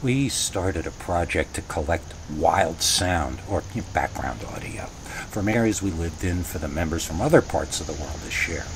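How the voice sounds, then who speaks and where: A man reading a first-person scary story in a conversational way, with dramatic pauses. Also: low-pitched, expressive, noisy background. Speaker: horror story reader.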